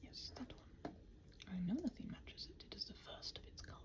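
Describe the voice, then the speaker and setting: A woman hosting a rambling ASMR work session, muttering to herself, often half-whispered, with faint noise. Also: normal speed. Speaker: host of a body-doubling ramble. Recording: faint noise, keyboard typing. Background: computer keyboard and typing.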